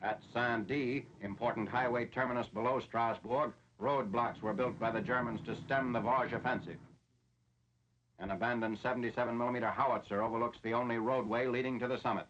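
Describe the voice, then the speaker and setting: A man's voice: medium pitch, steady tone, a little fast, a bit bright, slight background noise. Dull vintage recording of an American newsreel man. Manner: formal, matter-of-fact reporting. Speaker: military newsreel narrator. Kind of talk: 1940s newsreel scripted narration. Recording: dull, lo-fi 1940s film soundtrack.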